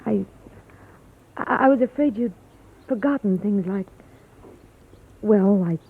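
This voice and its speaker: An actress playing a tender, anxious, loyal sweetheart in a vintage radio drama, hesitant and sincere. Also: female voice, medium pitch, slow, slightly rough. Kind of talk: 1940s-50s radio drama acting. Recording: tinny old radio recording.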